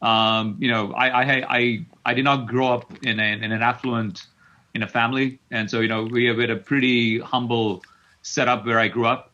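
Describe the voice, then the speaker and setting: A man's voice: mid-range voice, little pitch movement, normal speed, sharp tone, dull audio. A middle-aged man from Kenya answering as an interview guest, repeating words as he thinks aloud. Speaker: tech company CEO, interview guest.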